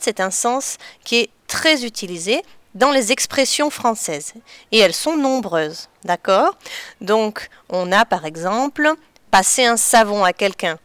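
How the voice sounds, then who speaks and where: A woman teacher patiently explaining to children in a clear, deliberate way. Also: medium pitch, a bit bright, dry recording. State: slightly stuffy from a cold. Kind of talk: children's lesson on figurative expressions.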